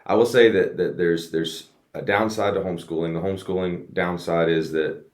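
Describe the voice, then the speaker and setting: A man's voice: deep voice, fairly even intonation, normal speed. A man raised in the United States, a race car driver, telling his story earnestly as a guest in a casual podcast interview. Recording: roomy echo.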